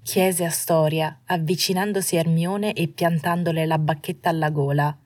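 Low, husky woman narrating fiction. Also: steady tone, moderate pace, darkish tone, no echo. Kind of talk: scripted audiobook narration of fiction.

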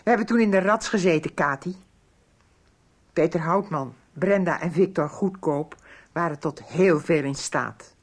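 An actress playing a sharp, accusing, anxious middle-aged mother in a radio soap, with a husky voice. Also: lowish voice, measured pace. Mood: accusing, sarcastic.

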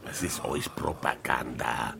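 An actor playing a smug, suspicious Bavarian pub know-it-all in a radio crime play, explaining things knowingly. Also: male voice, a bass voice, unhurried, creaky, crowd noise, full character voice.

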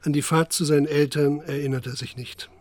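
An actor playing a sober, restrained narrator in a scripted radio drama. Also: male voice, fairly high pitch, fairly even intonation, fairly quick, no echo, lightly acted. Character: sober male narrator chronicling a crime.

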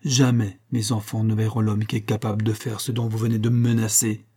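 Male reader as anguished mother, earnest, pleading, wounded, audiobook drama, mellow husky timbre. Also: lowish voice, level tone, a little fast. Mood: defiant. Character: anguished, defiant wife and devoted mother.

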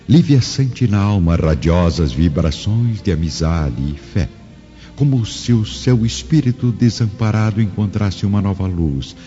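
An actor playing the narrator of a radio drama, solemn, deliberate and literary in his scripted storytelling. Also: very deep voice, dark tone. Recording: dull lo-fi recording, faint music behind. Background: faint music.

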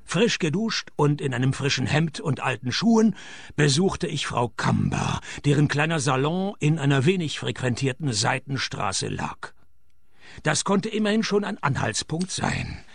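An actor playing a sardonic, hard-up male private detective in a radio play, wisecracking in a deadpan way. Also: on the high side, unhurried, gravelly, husky, no room sound, heavily acted. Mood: wry, deadpan. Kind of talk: radio play dialogue and first-person narration.

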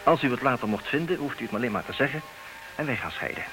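A man acting in a comedic radio play as a formal, businesslike suitor, stubborn and dryly exasperated, speaking with measured, theatrical emphasis. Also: mid-range voice, animated, natural voice. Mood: insistent, businesslike. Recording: noisy, hissy old radio recording.

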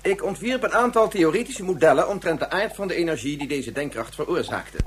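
A man's voice: a little high, expressive, brisk, close to an everyday voice. A man playing a precise, pedantic male scientist in a science fiction radio drama. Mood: pedantic, formal. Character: male scientist Yukio, pedantic lecturer turning distraught father. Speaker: actor in a radio play. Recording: noisy radio play recording with hum.

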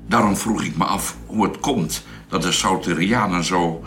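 An actor playing a curious, polite space traveller in a science-fiction radio drama, hesitantly searching for words. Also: male voice, sits low, normal speed, fairly bright tone, a little hiss or hum. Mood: curious, probing. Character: curious, polite male space traveller questioning his hosts.

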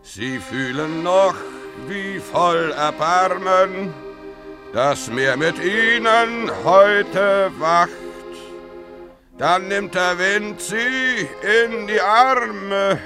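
A man playing a drunken, boastful, greedy pirate sea captain in a radio play, theatrical and mocking, in a gravelly voice. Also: high-pitched, drawn-out words, over music, heavily acted. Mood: singing, wistful. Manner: theatrical, mocking, swinging from mutters to bellows. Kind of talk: 1950s German radio play acting.

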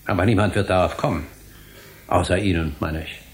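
An actor in a German radio drama playing a guilt-ridden, emotional middle-aged academic with a gravelly voice. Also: male voice, low voice, fairly even intonation, fairly quick, natural voice. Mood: alarmed.

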